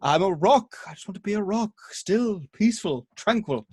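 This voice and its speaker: A man's voice: sits high, expressive, normal speed, husky, no room sound, clean studio sound. A man playing a haughty, disdainful classically trained actor in a table read, with a theatrical, pompous manner. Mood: dreamy.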